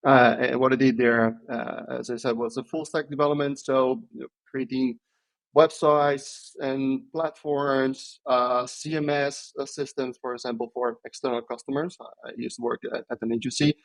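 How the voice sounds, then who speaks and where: A man, answering interview questions in a thoughtful, hesitant way with many pauses and restarts. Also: mid-range voice, fairly even intonation, vocal fry, poor audio. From Italy. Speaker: podcast guest, software engineer.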